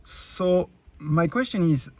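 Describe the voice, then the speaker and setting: French guy ranting to his viewers, kinda raspy, blunt, swears a lot, lots of uhs. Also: a little high, animated, slow pace, no echo. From France. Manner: blunt, profane, heated, many fillers and restarts. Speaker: YouTube creator. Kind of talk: angry rant to camera.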